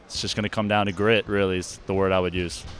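A young basketball player speaking English in a relaxed, casual post-game interview with plenty of fillers, recorded on a handheld mic in an arena. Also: male voice, deep voice, quick pace, a little hiss or hum. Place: indoor arena.

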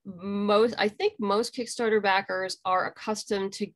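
A woman's voice: medium pitch, steady tone, unhurried. A middle-aged woman speaking as an interview guest, explaining things conversationally over a video call from a room at home. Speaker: interview guest, graphic novel creator. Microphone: video call computer microphone.

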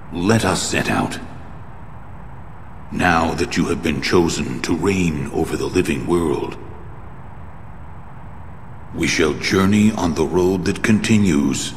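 An actor playing a grave, wistful ancient creator sage in a video game cutscene, speaking in measured, formal phrases. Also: male voice, low-pitched, expressive, full character voice. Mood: solemn. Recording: long cavernous reverb, faint background noise.

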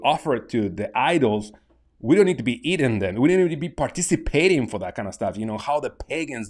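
A man in his thirties from Mexico with a Mexican Spanish accent, talking casually to camera in a small home studio, halting with many restarts. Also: medium pitch. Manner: halting, many restarts and fillers, gestures with hands. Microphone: large studio mic on desk.

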